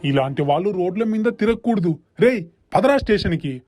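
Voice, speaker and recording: A man voicing a stern, righteous village policeman who scolds, in an animated moral story. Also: high voice, fairly quick, faint music behind. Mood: stern anger.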